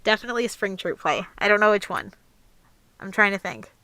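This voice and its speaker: A young woman host with a clear, smooth voice at a medium pitch. She chats casually and rambles through a livestream Q&A, recorded close and dry. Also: sing-song, fairly quick, pushed and bright, dry recording. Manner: chatty, rambling. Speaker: host, amateur voice actor.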